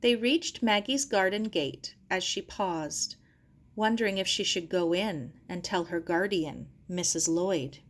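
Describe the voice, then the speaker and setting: A woman's voice: on the low side, measured pace. A woman reading a children's novel aloud as scripted narration. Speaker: audiobook reader.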